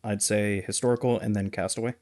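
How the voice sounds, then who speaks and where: A man's voice: fairly low pitch, flat delivery, a little fast, darkish tone, no room sound, clean studio sound. A man co-hosting a podcast, sharing his film opinions in an informal conversational way. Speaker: podcast co-host. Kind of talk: casual film review chat.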